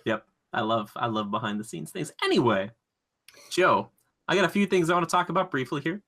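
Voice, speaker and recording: Bright-voiced man chatting on a podcast. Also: on the high side, expressive, fairly quick. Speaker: podcast co-host. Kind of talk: casual podcast chat.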